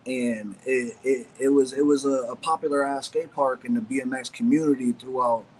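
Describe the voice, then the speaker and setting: A man's voice: medium pitch, fairly even intonation, normal speed, a little mellow, husky, low-quality recording. A young man from Ohio, a former pro BMX rider, telling stories in a loose, casual chat full of fillers and swearing. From Ohio, USA. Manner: loose, profane storytelling with many fillers.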